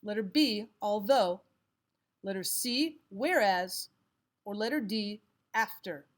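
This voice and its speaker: A woman narrating a scripted lesson as a teacher, reading items aloud in a measured, instructional way. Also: mid-range voice, animated. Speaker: English grammar teacher.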